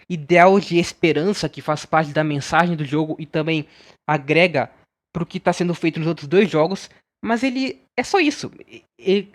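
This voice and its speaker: A young Brazilian man with a notably high voice gives casual commentary as a game reviewer. He talks informally and bluntly, laying out his opinions in a conversational way.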